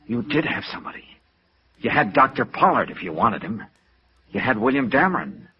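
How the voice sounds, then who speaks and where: An actor playing a steady, patient male investigator trying to talk someone down, in 1950s radio noir style. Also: medium pitch, a little fast, breathy edge. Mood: calm, persuasive. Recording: dull lo-fi old radio recording.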